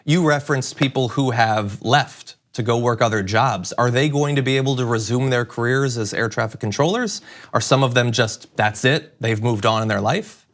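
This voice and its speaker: A male talk show host with an average-pitched voice conducts a news interview. He is engaged and conversational, phrasing questions at length as he thinks them through aloud. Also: normal speed, a bit bright.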